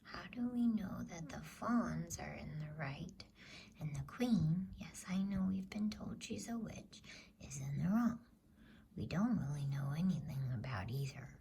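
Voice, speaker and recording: A woman voicing a sceptical, grumbling boy in a breathy whisper for a storybook reading. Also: sits low, expressive, unhurried, mellow, a little hiss or hum, full character voice. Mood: suspicious, grumbling.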